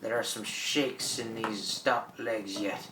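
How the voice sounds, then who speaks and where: An actor playing an ancient French pirate captain in a radio drama, proud and ailing, with halting speech and French exclamations. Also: mid-range voice, fairly even intonation, natural voice. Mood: defiant, boastful. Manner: theatrical, boastful, peppered with French exclamations. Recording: echoey room, distant mic, background noise.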